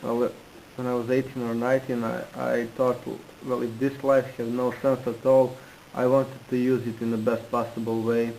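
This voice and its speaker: A man interpreting into English, halting and restarting in phrasing. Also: medium pitch, level tone, creaky, darkish tone, slight background noise, poor audio. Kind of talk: consecutive interpreting.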